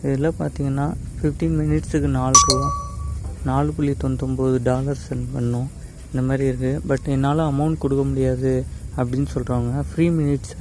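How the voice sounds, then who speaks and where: Male tech tutorial host, mid-pitched and relaxed, casual step-by-step explaining with English words mixed in. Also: slight background noise, low-quality recording. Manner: explanatory, addresses viewers directly, mixes in English words.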